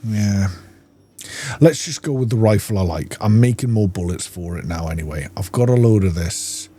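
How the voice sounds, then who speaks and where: A man's voice: on the low side, moderate pace, mellow, husky. A middle-aged man giving casual gameplay commentary, rambling and thinking aloud. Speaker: gaming YouTuber.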